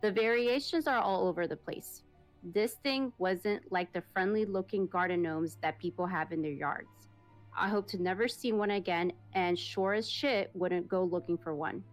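A woman's voice: on the low side, normal speed, soft background music. Woman reading a creepy listener story. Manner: steady storytelling read, plain and even. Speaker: podcast host reading listener stories.

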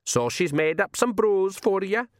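A man's voice: high voice, lively intonation, a little slow, no echo, studio quality, voice pushed up. A man voicing a blunt, cajoling old male pixie with a Scottish accent for an audiobook. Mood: bossy, matter-of-fact. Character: old male pixie, bossy, folksy spokesman for his chief. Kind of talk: audiobook character voice.

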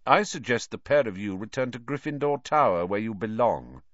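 A suspicious, menacing schoolmaster, acted by a man narrating a storybook. He speaks low with a formal, deliberate sneer. The recording is dry and close. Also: lively intonation, a bit bright, no echo, dull audio. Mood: cold, suspicious. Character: cold, suspicious, menacing adult male schoolteacher.

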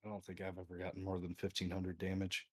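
Low-voiced, easygoing man who sounds made for radio. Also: completely flat delivery, fast talker, slightly rough. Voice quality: voice people call fit for radio. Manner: easygoing, self-deprecating. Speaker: podcast guest, streamer. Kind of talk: casual podcast chat.

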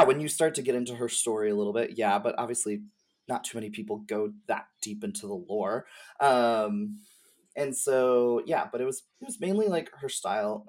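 A man talking as a podcast guest in a casual, slangy, rambling conversational style. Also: mid-range voice. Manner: informal, slangy, hedging and rambling. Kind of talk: casual conversational chat about game lore.

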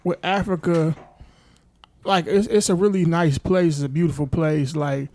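A man's voice: a little high, steady tone, normal speed, a little mellow. A man with an American accent interviewing in a casual, slangy, conversational way. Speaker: interviewer, show host.

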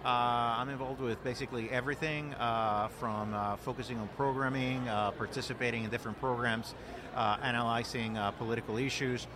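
A man's voice: medium pitch, steady tone, fairly quick, noisy background. A man from Puerto Rico, a guest being interviewed, speaking earnestly with frequent fillers and repeated words. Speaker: guest, political director. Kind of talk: conversational interview. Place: outdoor event.

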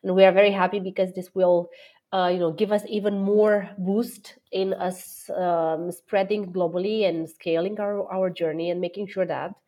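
A woman's voice: lowish voice, fairly even intonation, normal speed, dark tone. A middle-aged woman from Romania, a guest executive answering interview questions with enthusiasm, many fillers and restarts.

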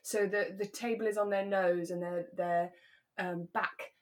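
A British woman chatting reflectively as a podcast co-host, in a relaxed conversation. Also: medium pitch, unhurried. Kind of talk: relaxed conversational discussion of a radio drama.

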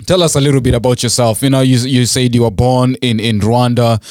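Man hosting a podcast interview, animated. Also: mid-range voice, brisk, no echo, clean studio sound. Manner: animated questioning, frequent restarts and fillers. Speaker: podcast host. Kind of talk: interview host.

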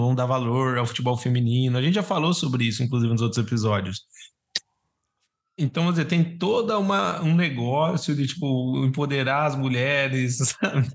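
A man with a Brazilian Portuguese accent chatting casually and informally as a podcast co-host, using plenty of slang. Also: mid-range voice. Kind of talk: casual chat.

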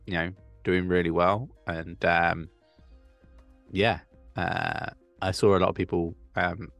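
A man's voice: low-pitched, little pitch movement, takes it slowly, gravelly, a bit bright, no room sound, soft background music. A man co-hosting a casual crypto livestream, chatting loosely and reading out questions from the live chat. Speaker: co-host.

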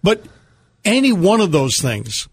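A man with a slightly high voice works as a radio co-host. He talks conversationally and tells stories with a dry, teasing sense of humor. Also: big pitch swings, a little slow, a little hiss or hum. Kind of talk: conversational radio talk with storytelling. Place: radio studio.

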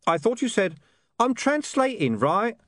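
A man's voice: extremely high pitch, sing-song, measured pace, fairly bright tone, no room sound, studio quality, pitched above the performer's own voice, exaggerated voice. A man reading the part of a polite, earnest young newspaperman who hesitates but keeps probing. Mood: earnest questioning. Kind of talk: audiobook character voice.

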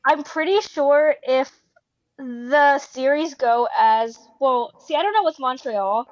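A woman's voice: sits high, slow pace, dry recording, lo-fi sound. A tired young woman co-hosting a sports podcast who talks in a rambling, hesitant way. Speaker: podcast co-host. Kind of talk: casual sports podcast chat.

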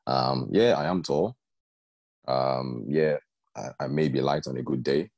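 A young man with a very deep voice, telling personal stories in an earnest, conversational way and often asking "do you know what I mean". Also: a little slow, darkish tone, no room sound. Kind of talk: casual group chat.

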